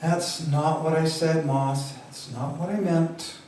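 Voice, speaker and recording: A man's voice: fairly high pitch, fairly even intonation, slow pace, a little mellow. A man voicing a cowed, gray-haired woman in her fifties who sounds weary and apologetic. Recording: echoey room, distant mic.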